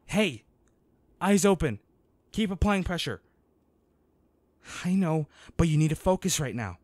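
Man acting a protective bodyguard, swinging pitch. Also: very animated, unhurried, a little mellow, no room sound. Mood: urgent, frightened. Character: protective, worried male bodyguard scolding a loved one. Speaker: audio roleplay voice actor. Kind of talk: intimate dramatic audio roleplay.

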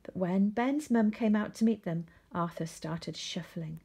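Female storybook reader, expressive read-aloud, soft husky, breathy edge to the voice. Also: mid-range voice, measured pace. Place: indoors at home, seated.

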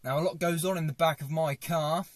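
A man's voice: on the high side, fairly even intonation, fairly quick, no echo. A young man, seated in a parked car, shows what he keeps in it to a camera on the dashboard and jokes dryly about it. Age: young adult. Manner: dry, self-mocking, chatty. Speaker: vlogger. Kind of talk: casual car tour vlog. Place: parked small car, indoors. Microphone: fixed dashboard camera.

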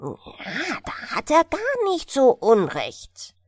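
A gentle, sorrowful little forest sprite played by a woman narrator in a fairy tale. The voice sits a bit high and moves slowly, with lively rises and falls as he earnestly pleads. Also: dry recording, full character voice. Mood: earnest, eager. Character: small male mandrake sprite, gentle, plaintive, eager. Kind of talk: fairy-tale audiobook character.

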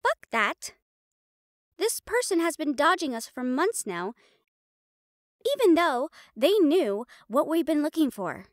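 A woman's voice: high-pitched, sing-song, takes it slowly, pauses often, no echo, clean studio sound, voice pushed up, full character voice. A woman playing a feisty young female hunter, brash and casual, in an audio roleplay. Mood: angry, determined.

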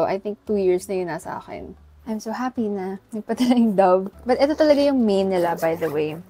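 A young Filipino woman talking to camera in a relaxed haul vlog. She chats easily at a middling pitch, mixing languages and tossing in "guys" every few lines. Recorded in a bedroom on a clip-on mic. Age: young adult. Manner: chatty Taglish, keeps saying "guys". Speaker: vlogger. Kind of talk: casual haul chat. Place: bedroom, seated on floor.

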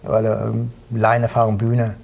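A man's voice: fairly low pitch, completely flat delivery, measured pace, creaky, a little mellow, breathy edge. A middle-aged man chatting casually as an interview guest, self-assured and speaking in short, clipped phrases. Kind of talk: casual anecdotal chat. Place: indoor studio set, seated at a table.

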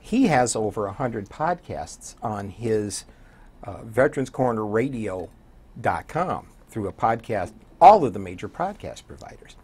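Husky older man with lively intonation. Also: on the low side, a little slow. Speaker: radio program director, guest. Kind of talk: casual interview conversation. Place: small TV studio, indoors.

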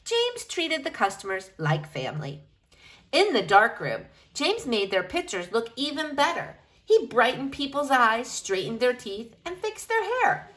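Lady doing a kids read-aloud, bright voice kinda high, really expressive storyteller. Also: a little slow. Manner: animated, addresses young listeners directly. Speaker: storyteller reading to children. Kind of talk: expressive picture-book read-aloud for children.

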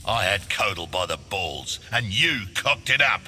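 Crude Australian mercenary, brash, taunting, lowish, very forceful, fighting game. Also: male voice, sing-song, unhurried, a little hiss or hum, exaggerated voice. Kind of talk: fighting game character dialogue.

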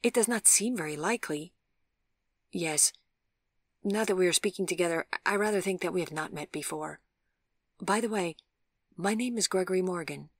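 A woman's voice: fairly low pitch, moderate pace, pauses often, no echo, clean studio sound. A woman voicing an awkward, courteous, apologetic man in an audiobook, speaking with hesitant, formal politeness. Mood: formal, earnest. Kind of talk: audiobook character voice.

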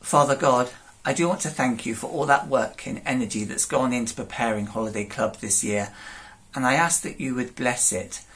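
A man in his forties with a mid-pitched voice leads his congregation in prayer. He speaks warmly and steadily, with the measured manner of a pastor. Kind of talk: thanks and spoken prayer.

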